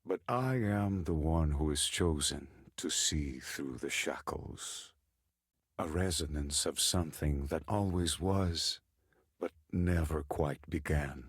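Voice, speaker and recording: An actor playing a solemn, fatalistic prophet of a god of fear in a video game, speaking in a brooding, philosophical way. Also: male voice, rumbling low. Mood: ominous, brooding. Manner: slow, brooding, philosophical delivery. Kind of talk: dramatic video game voice acting. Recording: long cavernous reverb.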